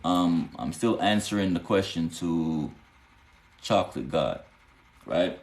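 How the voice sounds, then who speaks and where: A man's voice: low voice, slow, a little mellow, roomy sound. A man, teaching spiritual lore casually on a live stream and answering viewers, often asking "you know what I'm saying" and rising into emphatic bursts.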